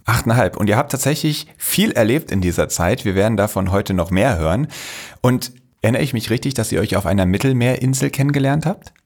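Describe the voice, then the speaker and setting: A man's voice: medium pitch, animated, fairly quick. A man hosting a podcast, introducing his guests and asking friendly, enthusiastic questions. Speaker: podcast host. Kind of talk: podcast interview.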